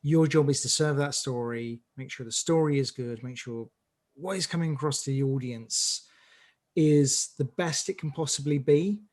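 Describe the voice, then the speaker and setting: A man's voice: medium pitch, moderate pace, a little mellow. A man giving rambling, emphatic advice in a conversational interview as a director. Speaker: audio drama director on an interview panel.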